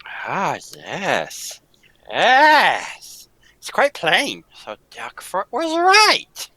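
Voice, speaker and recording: A woman's voice: wildly swooping pitch, very slow, breathy edge, no room sound, exaggerated voice. A woman playing a menacing, volatile circus tiger tamer in a theatrical old-time radio drama style. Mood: quietly menacing. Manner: theatrical, swooping between hushed menace and shrill gushing. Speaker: amateur voice actor in live table read.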